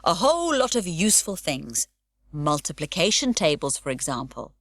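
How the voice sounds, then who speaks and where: A woman's voice: low-pitched, sing-song, moderate pace, a bit bright, no room sound, studio quality, exaggerated voice. A woman voicing a grown male policeman who lectures in a stiff, sensible way. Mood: lecturing. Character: stern grown man, a policeman.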